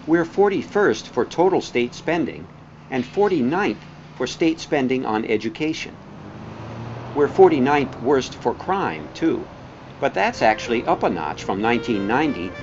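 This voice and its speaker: Guy narrating a documentary, pitch moves around a lot, pointed and deliberate, guitar music under him. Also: animated. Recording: dull, band-limited sound with music behind.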